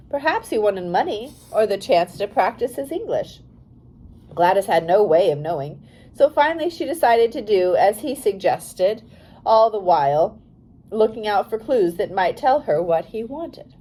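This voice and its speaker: A woman narrating a biography for young readers as an audiobook, in a careful, expressive storytelling manner. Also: mid-range voice, measured pace, husky, rough recording.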